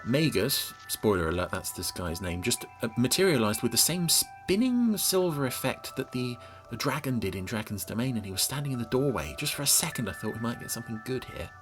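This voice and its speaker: A man's voice: mid-range voice, sing-song, a little fast, hardly any pauses, a bit bright, faint music behind. A man hosting a podcast, giving casual, sarcastic running commentary on a TV episode. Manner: wry, sarcastic asides. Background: soundtrack of the TV episode being watched.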